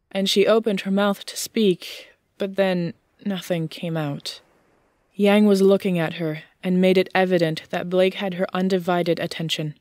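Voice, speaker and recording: A woman reading scripted fiction as an audiobook narrator, in a measured storytelling manner. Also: fairly low pitch, darkish tone, no echo.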